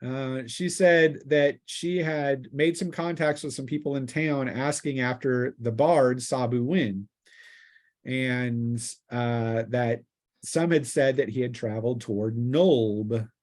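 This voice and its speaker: A man running a tabletop roleplaying game as game master, narrating and explaining rulings casually in a halting, explanatory way. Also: medium pitch, fairly even intonation, a little mellow.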